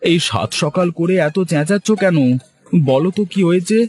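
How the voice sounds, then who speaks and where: A man's voice: high-pitched, normal speed, husky, dry recording. A man voicing a poor village fisherman and husband in a cartoon story. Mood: surprised. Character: poor village fisherman husband, humble, worried, later cocky. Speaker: cartoon character voice. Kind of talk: Bengali cartoon story dialogue.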